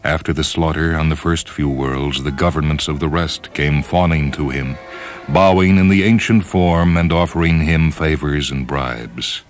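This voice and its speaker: Very deep-voiced man narrating over music. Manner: measured, solemn, declamatory. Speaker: host and story reader of a radio program. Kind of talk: dramatic scripted storytelling narration. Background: orchestral and piano music.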